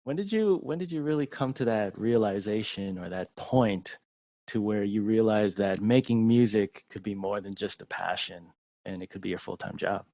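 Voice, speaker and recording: A man hosting an interview, asking friendly, rambling questions in a relaxed way. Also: fairly low pitch, moderate pace, no room sound. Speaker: interview host. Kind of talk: casual interview.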